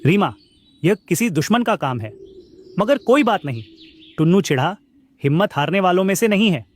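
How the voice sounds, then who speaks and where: Honest, determined male songbird father for kids' animation, high and expressive, played by a man. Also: normal speed. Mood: resolute. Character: plucky, honest male sparrow father and corn seller. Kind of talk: Hindi kids' cartoon dialogue.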